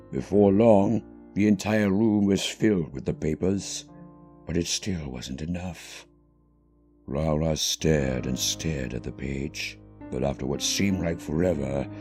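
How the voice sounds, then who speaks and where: Deep, expressive male story narrator. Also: creaky. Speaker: narrator of a fan fiction reading.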